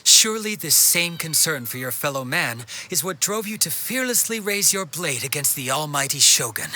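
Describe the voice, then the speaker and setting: Lively, courteous townsman informant. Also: a little high, normal speed, slight background noise, exaggerated voice. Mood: admiring, helpful. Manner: polite, expressive, conversational, flattering. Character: obliging, well-informed, courteous man of the town. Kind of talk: scripted video game dialogue. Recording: game music behind the voice. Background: background music.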